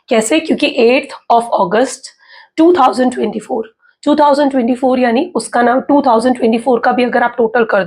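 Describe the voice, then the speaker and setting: Hoarse, husky Indian woman tarot reader. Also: on the high side, a little mellow. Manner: chatty, mixes in English, frequent "okay" and "theek hai" check-ins. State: hoarse from a sore throat. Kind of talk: casual explanatory talk to viewers.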